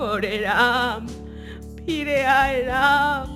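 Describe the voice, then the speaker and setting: Music plays under a woman acting as a loving cartoon mother stricken with grief. Her voice is high and slow, and she draws out her emotional pleas with full melodrama. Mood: wailing grief. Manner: drawn-out, emotional pleading.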